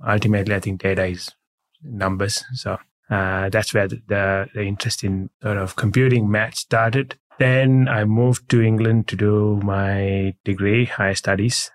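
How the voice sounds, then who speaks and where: A man from Sri Lanka telling his career story in a relaxed, matter-of-fact way as an interview guest. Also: fairly low pitch, moderate pace. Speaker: guest, head of data. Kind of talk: casual interview chat.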